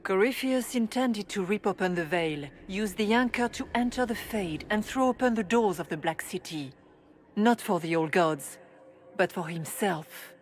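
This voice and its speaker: A woman playing a serene spirit in the guise of a holy leader, speaking in a measured, formal way for a video game. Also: medium pitch, close to an everyday voice.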